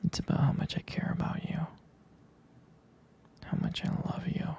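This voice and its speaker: A man playing a jealous, protective, tender boyfriend in an intimate ASMR roleplay, speaking softly and hesitantly in a breathy, husky, gravelly whisper. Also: natural voice. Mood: possessive, earnest. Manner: slow, hesitant, soft-spoken.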